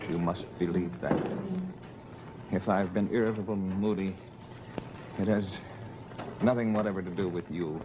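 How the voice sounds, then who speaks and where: An actor playing a grave, brooding stage lover, delivering a theatrical monologue in a solemn, underplayed way on a noisy vintage broadcast. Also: male voice, low-pitched, fairly even intonation, moderate pace, pauses often, close to an everyday voice. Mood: grave, subdued.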